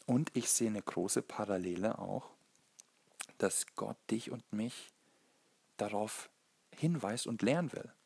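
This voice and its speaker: Male preacher. Low, gravelly delivery, earnest and halting, feeling for each word. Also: a little mellow, no echo. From Germany. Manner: earnest, halting, searching for words. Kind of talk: sermon, Bible teaching.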